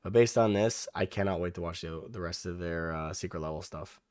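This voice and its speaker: Hoarse low-voiced man rambling. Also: a little fast, a little mellow, no room sound, clean studio sound. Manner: rambling, frequent restarts and fillers, swears. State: hoarse, losing his voice. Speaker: reaction video host. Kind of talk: casual reaction commentary.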